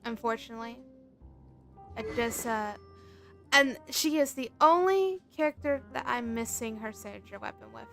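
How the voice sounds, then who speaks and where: A woman's voice: on the high side, lively intonation, moderate pace, vocal fry, a bit bright, faint music behind. A woman game livestreamer chatting casually in commentary over her stream.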